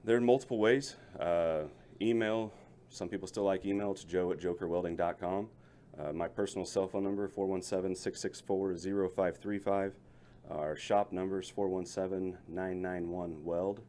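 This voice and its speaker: A man's voice: low-pitched, steady tone, normal speed, dark tone, slight background noise. A middle-aged man, a local business owner, chatting in a radio interview in a folksy, rambling way, often restarting his sentences. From Lebanon, Missouri area. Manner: folksy, rambling, self-correcting.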